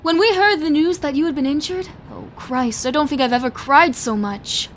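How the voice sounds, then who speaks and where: Woman acting high-pitched, excitable, sassy young woman. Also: normal speed, slight background noise, lightly acted. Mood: concerned, dramatic. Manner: bubbly, sassy, exaggerated emphasis. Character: excitable, chatty, dramatic young female best friend. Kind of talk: audio drama acting.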